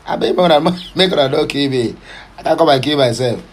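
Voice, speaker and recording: A man's voice: medium pitch, brisk. A man from southeastern Nigeria with a Nigerian Pidgin accent, giving passionate, opinionated news commentary. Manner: passionate, switching between Pidgin, English and Igbo.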